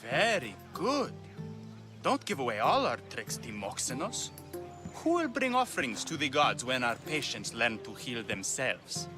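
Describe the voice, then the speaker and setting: An actor playing a smooth, menacing Greek temple priest in a dramatic video game, speaking with mock politeness. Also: male voice, medium pitch, very animated, unhurried. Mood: mock-polite. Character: smooth, menacing male temple priest enforcer in ancient Greece. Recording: loud music behind the voice.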